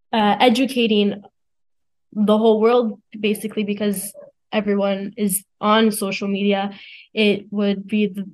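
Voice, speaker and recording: A teenage young woman, a student guest, giving a casual answer in an interview. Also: on the high side. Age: teens.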